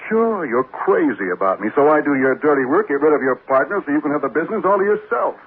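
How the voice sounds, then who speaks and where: An actor playing a shady, needy and suspicious boyfriend in a 1940s radio drama, talking in slangy, insistent lines. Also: male voice, fairly high pitch, very animated, fast talker, breathy edge. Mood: bitter, accusing. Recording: noisy narrow-band old radio recording.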